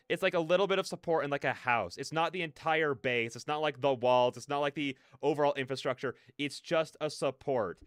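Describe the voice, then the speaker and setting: A man's voice: medium pitch, a bit bright, clean tone, no echo. A man livestreaming in a casual, rambling way, often interrupting himself with slangy asides into a close microphone. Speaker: livestreamer, content creator. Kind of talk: casual livestream rant and chat.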